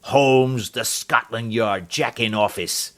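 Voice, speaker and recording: A man's voice: medium pitch, expressive, takes it slowly. A man voicing a fierce, furious old man who blusters and snarls threats. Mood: contemptuous.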